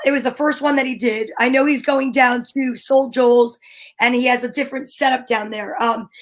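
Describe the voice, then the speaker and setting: A woman co-host with a notably high voice talks casually. She explains things in a conversational way, working out her thoughts aloud. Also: fairly bright tone. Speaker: co-host of a comedy talk show. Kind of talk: casual chat.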